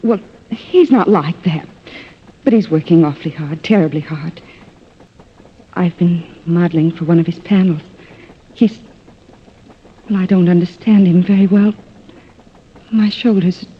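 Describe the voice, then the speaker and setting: Muffled old radio recording, nervous woman, husky voice, hesitant, apologetic, wistful. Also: fairly low pitch, a little slow, pauses often. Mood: flustered, apologetic. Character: nervous, self-doubting, wistful single woman client. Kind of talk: 1950s radio drama acting.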